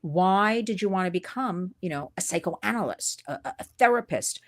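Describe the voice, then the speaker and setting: Gushing, animated New woman host. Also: on the low side, moderate pace. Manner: animated, gushing, laughs often. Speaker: podcast host. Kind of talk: enthusiastic interview chat.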